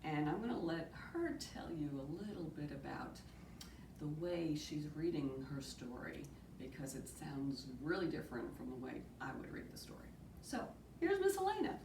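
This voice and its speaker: A woman in her forties, a youth librarian, welcoming children to a storytime video in a bright, upbeat way. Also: deep voice, very animated, moderate pace, gravelly. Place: small room indoors on a sofa. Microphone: far camera. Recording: roomy, distant, noticeable hiss.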